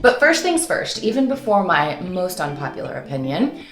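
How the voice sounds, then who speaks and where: A woman's voice: on the low side, sing-song, moderate pace, roomy sound, over music. A woman hosting an upbeat instructional vlog, talking informally and playfully with theatrical, sing-song flourishes. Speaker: host and voice-over coach.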